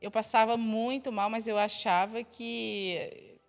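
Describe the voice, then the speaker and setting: A woman's voice: on the high side, steady tone, takes it slowly, gravelly, faint music behind. A Brazilian-accented woman in her early thirties, an interview guest telling personal stories casually. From northern Brazil. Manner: chuckles often while talking.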